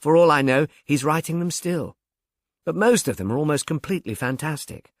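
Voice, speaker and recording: A man voicing a calm, matter-of-fact police detective who explains things in measured, wordy audiobook dialogue. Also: fairly high pitch, dry recording, voice pushed up, lightly acted. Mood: matter-of-fact, wry.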